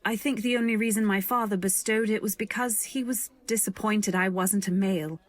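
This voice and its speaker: A woman's voice: mid-range voice, a little fast, lightly acted. A woman playing a modest, earnest young companion, narrating and speaking politely and wistfully in a radio drama. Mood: candid. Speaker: narrator and heroine. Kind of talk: first-person narration in old-time radio drama.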